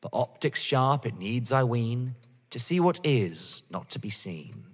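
A man's voice: medium pitch, big pitch swings, measured pace, slightly rough. Actor as a taunting young man, wildly swooping voice. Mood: mocking. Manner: mocking, theatrical, savouring each word. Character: taunting, vengeful young man playing a deadly game. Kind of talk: audio drama acting. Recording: reverberant hall-like room.

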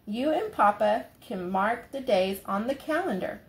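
A woman's voice: on the high side, expressive, measured pace. A woman voicing a loving mother bunny who reassures her little one in a storybook. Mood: reassuring.

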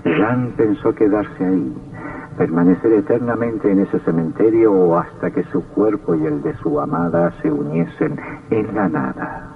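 An actor playing a sinister, darkly gloating count who narrates an old radio horror drama in a theatrical, macabre manner. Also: male voice, on the low side, sing-song, a little slow, gravelly, breathy edge, full character voice. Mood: macabre, brooding. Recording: tinny, distant old radio recording.

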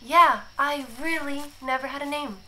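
A woman's voice: sits high, normal speed, bright, forceful tone, slight background noise. A woman playing an earnest, anxious android who insists she is alive, hesitant and guarded, in a sci-fi radio drama. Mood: subdued. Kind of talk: science fiction radio drama acting.

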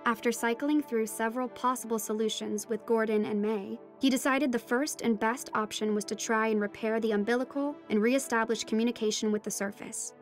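Woman as teenage daughter narrating an ocean adventure audio drama, higher-pitched voice, music underneath. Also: steady tone, brisk, few pauses, close to an everyday voice. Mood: narrative, suspenseful. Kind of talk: scripted first-person audio fiction narration.

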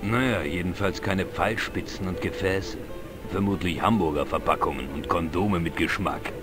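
A male actor voices an older village know-all at the pub in an adventure game. He explains things drily in a lowish, measured voice, with clear music behind him. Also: close to an everyday voice. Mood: patient, wry. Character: older, knowledgeable, wry Irish pub regular. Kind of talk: dubbed adventure game dialogue.

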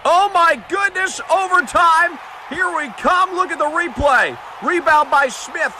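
A man's voice: very high-pitched, a little slow. A man doing mock basketball play-by-play as a parody sports commentator, with a profane, bombastic announcer delivery. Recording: noisy, game crowd and court sounds. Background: basketball game ambience with bouncing ball.

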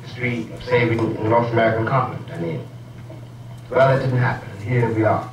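A man telling a reflective story in a measured, ironic way, heard from an old film clip. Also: medium pitch, little pitch movement, reverberant, recorded from a distance, slight background noise, rough recording. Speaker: speaker in an archival film clip. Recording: old film clip played in a room from afar.

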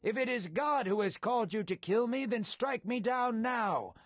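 A man voicing a bold, righteous young warrior in a storybook tale, shouting in a loud, declamatory way. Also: extremely high pitch, lively intonation, moderate pace, no room sound, voice pushed up. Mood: pleading defiance. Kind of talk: dramatic storybook acting.

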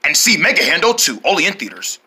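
A performer voicing an exuberant commercial announcer, pitching toys and meals to children in a salesy way. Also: sing-song, fast talker, sharp tone, breathy edge, soft background music, exaggerated voice. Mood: upbeat. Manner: salesy, listing product features. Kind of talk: promotional ad read in play-acting.

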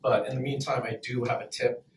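A man's voice: mid-range voice, level tone, brisk, a little hiss or hum. A young man doing a brash, profane stand-up set at an open mic, laughing between punchlines. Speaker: stand-up comedian. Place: small comedy club stage, in front of an audience. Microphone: handheld mic, filmed from far back in the room. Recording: roomy club sound from far off. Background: audience laughter.

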